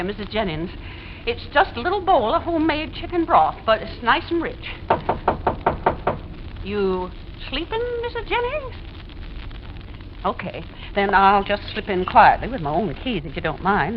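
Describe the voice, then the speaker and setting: A dull, faintly hissy old radio recording carries a busybody landlady. Her highish voice swoops about as she gossips and rambles with insistent suspicion, in 1940s suspense-drama style. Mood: calling, solicitous. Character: nosy, chatty, suspicious downstairs landlady neighbor, insistent.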